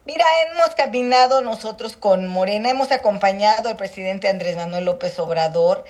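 Mexican woman, middle-aged, politician interview, measured, halting with fillers. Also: mid-range voice, a little mellow. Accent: Mexican Spanish. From Mexico, Guerrero Costa Chica. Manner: measured, slow, hesitant with many "eh" fillers. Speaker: senator and political candidate. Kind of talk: phone or remote interview.

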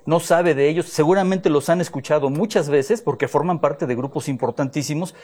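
A male guest with a slightly higher-than-average voice. He explains in a fluent, conversational flow, with enthusiasm, like someone promoting an event in an interview. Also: brisk, a little mellow, slightly rough. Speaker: music journalist and musician, interview guest.

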